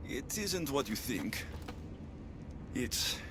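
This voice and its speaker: Loyal Spartan comrade, expressive intonation. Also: male voice, medium pitch, very slow, background noise. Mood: hesitant. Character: calm, loyal Spartan warrior companion. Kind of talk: dramatic video game acting.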